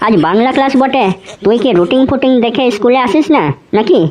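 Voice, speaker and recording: A woman's voice: fairly high pitch, brisk, a little mellow, natural voice. A woman voicing a smug, mocking schoolboy in a comedy cartoon, taunting in rustic slang. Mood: needling.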